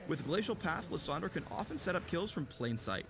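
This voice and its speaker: A man's voice: a little high, sing-song, brisk, gravelly, over music. A man reading scripted narration for a video game character guide. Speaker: game champion spotlight narrator.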